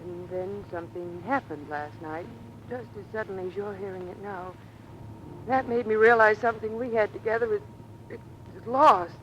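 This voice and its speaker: An actress plays a troubled wife of a naval skipper in an old radio drama. She speaks with earnest, halting emotion. The recording is noisy, with engine rumble behind her. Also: muffled recording, natural voice. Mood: hurt, pleading. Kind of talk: vintage American radio drama acting.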